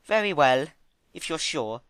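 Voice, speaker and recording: A man's voice: on the high side, expressive, a little slow, a bit bright, clear, resonant tone, dry recording, voice pushed up. A man voicing a refined, vain female pony in an affected, theatrical manner for a dramatic storybook reading. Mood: sombre. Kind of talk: dramatic fan fiction reading.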